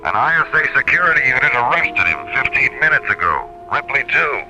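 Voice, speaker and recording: Male authority figure for radio sci-fi, actor. Businesslike official tone, medium pitch, clear music bed. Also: big pitch swings, brisk, close to an everyday voice, rough recording. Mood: sober. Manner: matter-of-fact, official. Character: businesslike male space commissioner, sober authority. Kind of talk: old-time radio science fiction acting.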